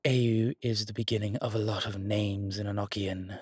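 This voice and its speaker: A man playing a strong, scholarly demon in a horror roleplay audio, explaining things in a deliberate, halting, pedantic way. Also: on the low side, fairly even intonation, husky, dry recording, studio quality. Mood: pondering, baffled. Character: strong, scholarly male demon, exasperated occult lecturer. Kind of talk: immersive one-sided roleplay audio drama.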